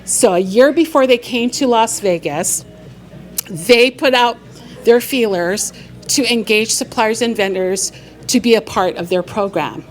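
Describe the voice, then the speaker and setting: A woman's voice: a little high, measured pace, faint music behind. A middle-aged woman from Hawaii, a business consultant giving a live talk on stage to an audience, upbeat and engaging the crowd with questions. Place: event venue stage.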